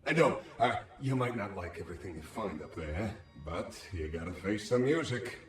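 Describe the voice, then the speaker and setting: An actor voicing a sly, streetwise, wisecracking anomaly in a video game, cocky and sardonic with folksy slang. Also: male voice, sits low, lively intonation, moderate pace. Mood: scheming. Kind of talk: video game character acting. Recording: roomy echo, slightly distant.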